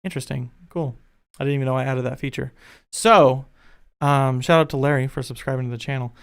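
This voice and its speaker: A young man hosting a casual sports podcast from his home studio, chatty and energetic, his voice a bit worn. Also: mid-range voice, fairly quick, vocal fry, clean tone. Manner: energetic, chatty, thinking aloud. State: losing his voice. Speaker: podcast host.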